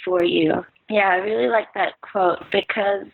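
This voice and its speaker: A woman's voice: mid-range voice, steady tone, measured pace, gravelly. A young woman from the Philippines, a podcast guest, talking in an earnest, reflective way with many "like"s and "so, yeah"s. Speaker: podcast guest, clothing brand founder. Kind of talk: casual chat. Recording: tinny phone-like call line.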